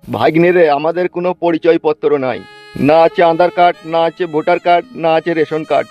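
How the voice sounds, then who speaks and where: A man's voice: a little high, quick pace, dull audio, soft background music, lightly acted. A man voicing a cautious, worried homeless uncle in a comedy cartoon. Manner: hesitant, cautionary. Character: cautious, worrying uncle, homeless desert wanderer.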